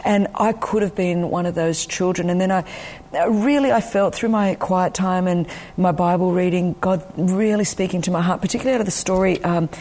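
A woman's voice: on the low side, steady tone, fairly quick, mellow. A woman in her forties with an Australian accent, Greek her first language, earnestly recounting her life as an interview guest. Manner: earnest, personal, frequent ums. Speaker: ministry leader, guest.

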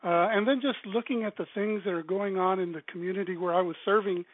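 A man's voice: sits high, steady tone, fast talker, dry recording. A middle-aged man from South Texas, a ministry director, speaking as a guest in a radio interview, earnest and rambling with many fillers. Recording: tinny phone line.

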